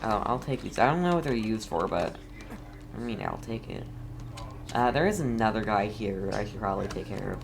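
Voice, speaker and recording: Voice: medium pitch, expressive, a little fast, vocal fry. A streamer giving casual gameplay commentary, playful and mock-scolding, often talking straight to the game. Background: game music.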